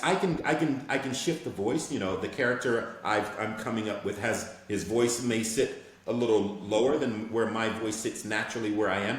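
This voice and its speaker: A man who is a voice actor, speaking earnestly and reflectively as a guest in a casual interview, often checking that he is understood. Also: on the low side. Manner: reflective, earnest, often asks "you know what I'm saying". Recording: very echoey room with faint background noise.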